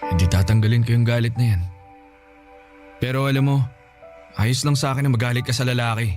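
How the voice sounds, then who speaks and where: A man's voice: mid-range voice, brisk, darkish tone, faint music behind, lightly acted. An actor playing an earnest, pleading young Filipino man in love with his best friend in a radio drama. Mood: soft, reassuring. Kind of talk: emotional radio drama acting.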